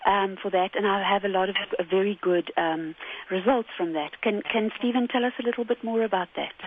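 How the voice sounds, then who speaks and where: A woman's voice: medium pitch, fairly quick, husky. A woman calling in to a radio show over the telephone. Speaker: radio phone-in caller. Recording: narrow, tinny telephone line.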